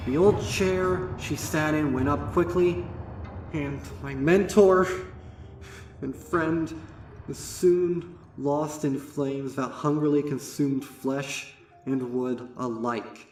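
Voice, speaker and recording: A man voicing a young female pony student, haunted and guilt-ridden, recounting horror in a dramatic, deliberate way. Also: fairly high pitch, steady tone, darkish tone, a little hiss or hum. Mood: grieving. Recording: echoey, distant, music behind.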